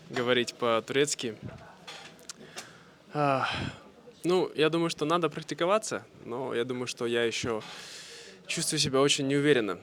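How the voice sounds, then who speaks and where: A man from Russia vlogging casually to camera, speaking simply and clearly for language learners with easy fillers. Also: medium pitch, a bit bright. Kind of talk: casual vlog talk to camera.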